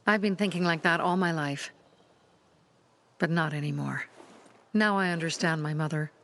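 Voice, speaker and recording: A hardened young resistance leader. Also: female voice, low-pitched, normal speed, fairly bright tone. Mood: reflective. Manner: earnest, hardened, resolute. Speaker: settlement leader.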